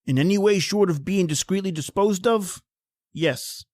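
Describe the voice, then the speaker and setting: An actor playing a wry, world-weary painter with a morbid wit, in a theatrical old-time radio horror style. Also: male voice, high voice, moderate pace, a little mellow, no room sound, clean studio sound, lightly acted. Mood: dry.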